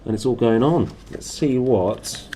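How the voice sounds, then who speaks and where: A man's voice: mid-range voice, expressive, a little slow, warm tone, slight background noise, low-quality recording. A man in his thirties reading a storybook aloud expressively in a small room, recorded on a nearby camera. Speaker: storybook reader. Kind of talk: expressive read-aloud narration.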